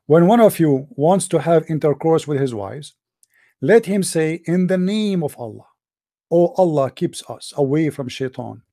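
A man's voice: on the high side, lively intonation, a little slow, mellow, no echo, clean studio sound. A man from the Middle East, a native Arabic speaker, hosting a religious debate and questioning a caller sarcastically. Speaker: host.

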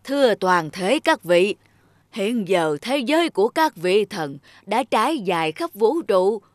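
A woman voicing a solemn elderly male god, a formal and measured referee in a cartoon. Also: mid-range voice, big pitch swings. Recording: music under the voice.

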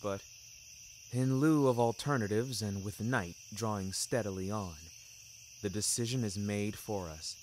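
A man's voice: fairly low pitch, slight background noise. A man narrating a scripted first-person story in a wry, theatrical, ornate manner. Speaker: narrator of a fiction podcast. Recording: music bed behind narration. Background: music.

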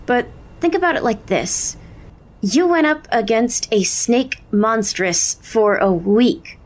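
A woman's voice: a little high, expressive, slow pace. A woman acting in an audio drama as a hero's supportive, teasing young best friend, casual, reassuring and playful.

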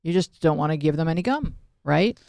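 A woman's voice: sits low, animated, brisk, creaky, warm tone, no room sound. A middle-aged woman hosting a casual panel chat. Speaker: podcast host. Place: small podcast studio. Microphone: close studio microphone on arm.

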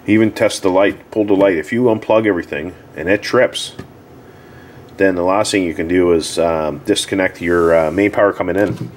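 A man giving a casual, unscripted how-to as a repair technician, plain-spoken and halting, often repeating himself. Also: low-pitched. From Canada. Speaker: hot tub repair technician giving a tutorial. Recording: clearly audible background noise.

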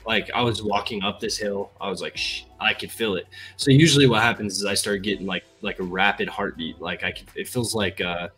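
A man's voice: on the low side, a little fast, hardly any pauses, bright, forceful tone, faint music behind. A young man hosting a casual livestream from an indoor room, chatting and reading viewer comments aloud on a headset mic. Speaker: livestream host. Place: indoor room, gaming chair.

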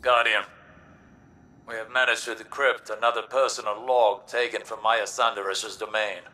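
A bright, earnest, poetic ally. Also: male voice, lowish voice, level tone, normal speed. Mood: grave, urgent. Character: earnest, philosophical male ally delivering grave counsel. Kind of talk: dramatic scripted game dialogue.

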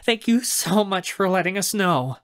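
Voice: medium pitch, animated, moderate pace, a bit bright, dry recording, clean studio sound, pitched above the performer's own voice, close to an everyday voice. Narrator playing a relieved, lighter-voiced aunt. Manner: earnest, relieved. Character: relieved, anxious adult aunt.

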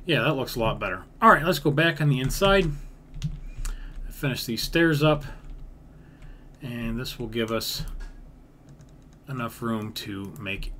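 A man's voice: mid-range voice, bright, forceful tone. A man giving casual commentary as he builds in a video game, thinking aloud, repeating himself and using folksy grammar. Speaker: gaming video creator. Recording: background noise with keyboard typing.

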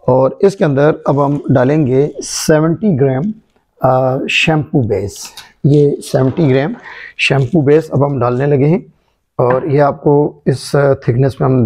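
A man explaining a formulation step by step, unhurried and hesitant, in an indoor workshop. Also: mid-range voice, a little mellow. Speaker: chemistry channel presenter. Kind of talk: hands-on formulation demonstration.

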